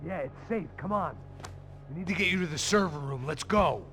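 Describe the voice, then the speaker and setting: An actor with an American accent playing a cynical, world-weary bodyguard, deadpan and sardonic. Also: male voice, fairly high pitch, fairly quick, soft background music. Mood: urgent, commanding. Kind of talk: hard-boiled game voice acting and scripted narration.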